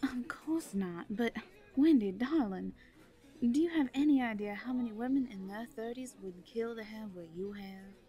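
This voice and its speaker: An actress playing a teasing, encouraging woman confidante, coaxing, playful and often hushed, in a conversational audio drama. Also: a little high, normal speed, husky.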